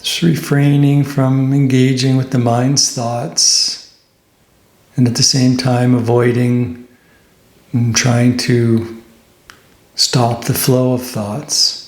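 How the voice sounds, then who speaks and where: A man leading a guided meditation as a teacher, speaking gently and unhurriedly, with pauses between phrases. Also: mid-range voice, level tone, reverberant.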